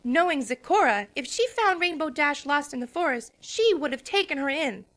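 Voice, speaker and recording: An earnest young pony scholar with a very high, bright voice, as a woman puts her on. She talks seriously and a little reproachfully, a cartoon bookworm worried about her friends. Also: animated, moderate pace. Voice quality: earnest, slightly scolding. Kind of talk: fan dramatic reading.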